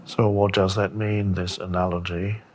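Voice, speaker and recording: A man giving a meditation talk as a spiritual teacher, speaking contemplatively with long pauses and gentle questions. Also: sits low, little pitch movement, slight background noise.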